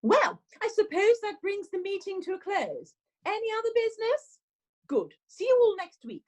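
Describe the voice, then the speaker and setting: A woman playing a brisk, businesslike village committee chairwoman with a dry wit in a radio pantomime. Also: very high-pitched, big pitch swings. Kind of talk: pantomime radio play acting.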